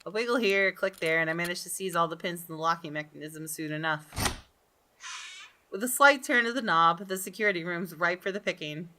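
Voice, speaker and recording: A woman's voice: on the low side, fairly quick, noisy background. A woman hosting a gaming video, reading visual novel text aloud and reacting expressively.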